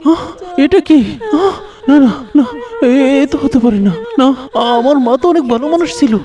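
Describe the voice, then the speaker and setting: An actor voicing a distraught young village son, devout and quick to anger, stammering and repeating words in a melodramatic cartoon style. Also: high-pitched, expressive, far from the mic, dull audio, a crowd in the background. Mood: panicked, disbelieving.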